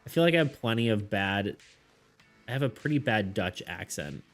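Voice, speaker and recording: A man's voice: fairly low pitch, animated, normal speed. A man chatting casually with his viewers on a live stream, rambling, restarting his sentences and laughing often. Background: faint background music.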